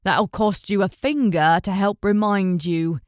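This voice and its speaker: A woman voicing a burly, greedy male fisherman in an audiobook, talking loudly and bluntly with threats. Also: mid-range voice, a little slow, smooth, no room sound, studio quality, lightly acted. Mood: menacing. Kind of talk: audiobook character voice.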